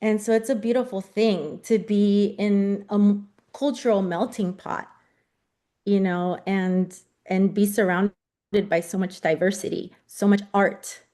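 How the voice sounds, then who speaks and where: A woman's voice: medium pitch, fairly even intonation, measured pace. Eager woman guest, first language spanish. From San Francisco Bay Area. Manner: eager, warm-spoken, frequent "you know". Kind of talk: casual talk on a radio show.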